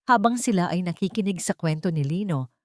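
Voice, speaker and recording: A Filipino woman tells a dramatic scripted story in a low voice. Her delivery is earnest and sentimental, and the sound is very dry, with no room echo. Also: expressive, brisk, darkish tone. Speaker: story narrator and channel host.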